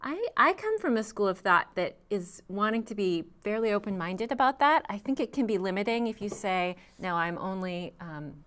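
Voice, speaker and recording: A woman's voice: mid-range voice, big pitch swings, moderate pace, few pauses, fairly bright tone. A young adult woman, a trained singer, answering interview questions thoughtfully with frequent ums and restarts. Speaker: guest vocalist.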